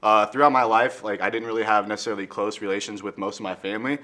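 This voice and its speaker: A man's voice: lowish voice, little pitch movement, quick pace, pushed and bright. A young man answering interview questions in a rambling, casual way, full of "like" and "you know.".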